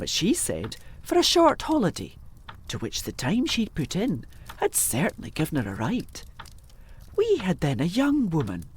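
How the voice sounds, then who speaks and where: Loyal older housekeeper played by a woman, gothic period drama, lowish voice, extremely animated, emphatic, deferential, plain-spoken. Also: a little hiss or hum. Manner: deferential, emphatic, old-fashioned servant speech. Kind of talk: audio drama acting of a gothic period story.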